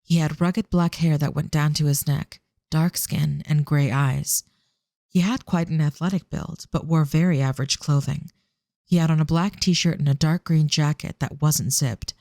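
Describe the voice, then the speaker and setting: A scripted fantasy audio-drama narrator with measured, descriptive delivery. The voice has noticeable vocal fry and is recorded close and very dry. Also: medium pitch, fairly even intonation, darkish tone, no room sound.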